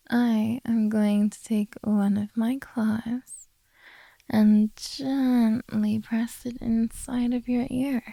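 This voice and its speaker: A woman voicing a possessive, doting yet menacing beast-girl captor in a soft, coaxing ASMR roleplay. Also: on the high side, gravelly, no echo, heavily acted. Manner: slow, coaxing, quietly domineering.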